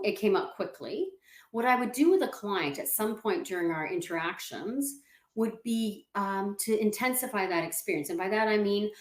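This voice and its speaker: A woman confidence coach, speaking as an interview guest over a video call and calmly walking the listener through exercises. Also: lowish voice, reverberant. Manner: calm, explanatory, walks the listener through exercises. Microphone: video call setup.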